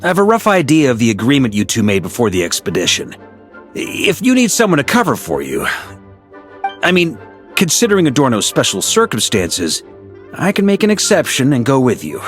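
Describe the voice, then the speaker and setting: An actor playing a weary middle-aged knight commander in a video game, who sighs in exasperation, teases dryly and gives gruff orders. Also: male voice, medium pitch, huge pitch swings, fairly quick. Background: soft game music.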